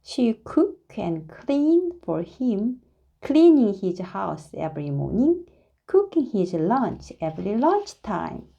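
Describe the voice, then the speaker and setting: A woman reads a storybook aloud to young listeners. Her voice is soft-edged and mellow, with very animated pitch. She takes her time, shaping each phrase deliberately and dramatically. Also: gravelly, lo-fi sound. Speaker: storybook reader. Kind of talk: expressive read-aloud of a children's story.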